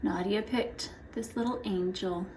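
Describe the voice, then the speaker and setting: Slow-paced woman vlogger, noisy background. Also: medium pitch, darkish tone, echoey room. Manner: unscripted, thinking aloud while working. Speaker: vlogger showing family outings and crafts. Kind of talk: casual vlog chat. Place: car, store, home kitchen and craft room.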